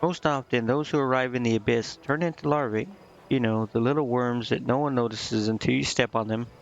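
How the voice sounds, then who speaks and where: A man voicing an earnest, naive female succubus from a video game, musing and halting as he reads her lines. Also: mid-range voice, fairly even intonation, dull audio, close to an everyday voice. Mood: halting, reflective. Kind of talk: reading video game dialogue aloud.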